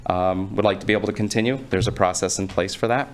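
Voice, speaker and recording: A man giving an earnest, somewhat halting public comment at a town council meeting. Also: low voice, steady tone. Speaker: resident speaking at public comment.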